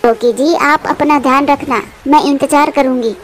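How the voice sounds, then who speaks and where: A woman's voice: high-pitched, fairly even intonation, moderate pace, slight background noise, close to an everyday voice. A woman voicing an anxious, devoted mother bird in a moral cartoon, earnest and pleading. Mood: tender, earnest. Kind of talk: Hindi moral cartoon dubbing.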